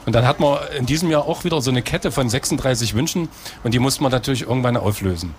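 A man's voice: mid-range voice, quick pace, slight background noise. A man, a school head, answering interview questions in a conversational way, explaining at length.

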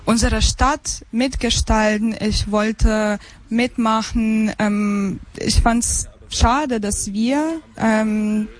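A woman's voice: on the high side, fairly even intonation, drawn-out words. A woman in her thirties from Ukraine, a guest in an interview, answering hesitantly with many fillers and restarts. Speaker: guest, migrant council member. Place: summer party at an art gallery.

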